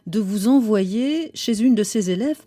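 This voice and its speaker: A woman hosting a radio interview, calmly summarizing her guest's story and asking questions. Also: mid-range voice, measured pace. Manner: composed, summarizing and prompting the guest. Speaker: radio host.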